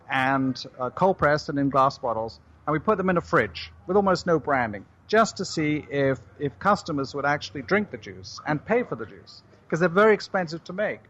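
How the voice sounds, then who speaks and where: A middle-aged man with a British accent, a company chief answering interview questions on the radio in a measured way. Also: fairly high pitch, a bit bright, lo-fi sound. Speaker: company CEO guest.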